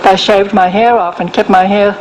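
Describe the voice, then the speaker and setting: Older woman with a soft voice, gentle and frank, pausing and restarting; interview guest, faint hum behind. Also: medium pitch, steady tone, rough recording. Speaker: guest author. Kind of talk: interview, personal story.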